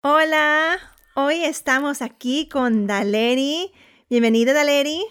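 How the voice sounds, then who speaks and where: A woman's voice: sits high, takes it slowly, a bit bright. A woman hosting a podcast, introducing the show and her guest, mixing some English in. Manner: mixes English into Spanish. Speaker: podcast host.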